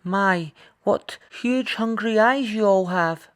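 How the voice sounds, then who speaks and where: A man's voice: sits high, slow pace, clean tone, voice pushed up. A man voicing a plucky, curious, polite little girl in a children's storybook. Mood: earnest, bright.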